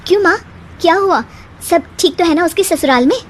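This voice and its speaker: A woman's voice: very high voice, fairly quick, slight background noise, voice pushed up. A woman voicing a caring, patient young wife and daughter-in-law in a family story. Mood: worried.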